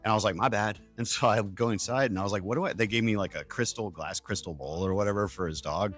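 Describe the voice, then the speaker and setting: A man's voice: lowish voice, quick pace, a bit bright, faint music behind. A man chatting casually and telling anecdotes in a wry way, acting out dialogue as he goes. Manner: wry, acts out quoted dialogue.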